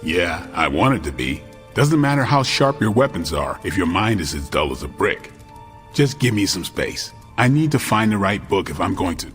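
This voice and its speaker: Deep-voiced, gruff warrior man. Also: very animated, normal speed, fairly bright tone, faint music behind. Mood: gruff, slightly defensive. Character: gruff, guarded warrior man, earnest about books. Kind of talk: video game cutscene acting.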